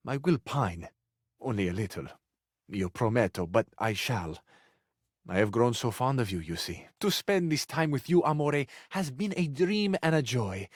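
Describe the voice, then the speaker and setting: An actor playing a romantic Italian lover in a video game, with an Italian accent and a flowery, courtly, tenderly flirtatious manner. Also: male voice, mid-range voice, sing-song, moderate pace, no echo, studio quality. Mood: fond, hesitant. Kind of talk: video game character acting, romantic dialogue.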